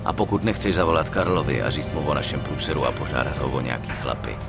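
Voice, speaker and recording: Deep-voiced young mobster. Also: male voice, over loud music. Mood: insistent, cautious. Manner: coarse, urgent, reasoning. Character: level-headed young male mobster, wary and practical. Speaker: game character voice actor. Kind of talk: dramatic game dub acting.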